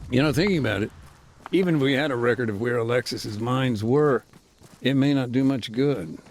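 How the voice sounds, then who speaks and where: A man's voice: medium pitch, a little hiss or hum, natural voice. A man voicing a weary ex-soldier deminer. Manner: measured, matter-of-fact, grimly understated. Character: weary male ex-soldier turned deminer, frank. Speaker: former military combat engineer, now demining aid worker. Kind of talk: voiced game cutscene dialogue.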